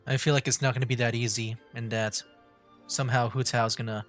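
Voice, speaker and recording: A man with a medium-pitched voice streams a game, musing out loud in a casual, halting way. Faint game music plays behind him. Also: little pitch movement. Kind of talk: casual livestream commentary.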